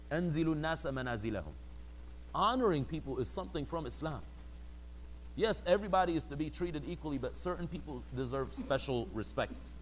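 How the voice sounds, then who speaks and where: A man's voice: a little high, slight background noise, low-quality recording. A man giving a conversational religious lecture, sometimes acting out quoted people in a mocking voice. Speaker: religious teacher.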